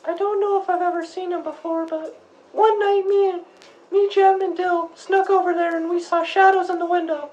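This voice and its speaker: A woman's voice: very high voice, level tone, normal speed, a little mellow, lo-fi sound. A woman playing a blunt, indignant nine-year-old girl in an acted radio interview. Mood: earnest, chatty. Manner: blunt, childlike, argumentative.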